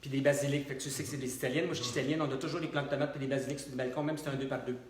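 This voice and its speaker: A man's voice: medium pitch, fairly even intonation, rapid-fire. A middle-aged man with a Quebec French accent, a cabaret drag diva chatting and joking with a talkative, theatrical, colloquial delivery in a live show. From Quebec, Canada. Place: indoors in pianist's home, live online show. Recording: roomy live stream with piano music. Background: piano music.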